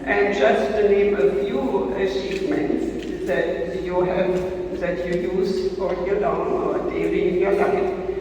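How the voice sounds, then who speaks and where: An older woman from Germany with a German accent, giving a measured prepared lecture and restating her points. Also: mid-range voice, fairly even intonation, a little mellow, a little hiss or hum. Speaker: guest lecturer. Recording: distant mic in echoey hall, dull.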